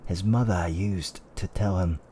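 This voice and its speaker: A man playing an older fantasy tavern owner, telling a dark fireside tale in a theatrical, suspenseful roleplay ASMR style. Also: deep voice, slight background noise. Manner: theatrical, archaic wording, drawn-out suspenseful delivery.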